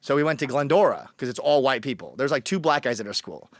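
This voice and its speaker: A man's voice: medium pitch, lively intonation, fast, dry recording, clean studio sound. A man from Colombia telling his story as a podcast guest, blunt and joking, acting out the people he quotes. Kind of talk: casual storytelling.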